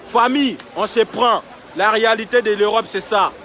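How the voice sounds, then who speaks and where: A man's voice: high-pitched, moderate pace, sharp tone. A young man livestreaming outdoors on his phone, talking emphatically and repeating himself as he urges viewers. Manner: emphatic, repetitive, urging viewers. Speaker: live-streaming vlogger. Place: outdoors in a city street camp. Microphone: phone held while filming.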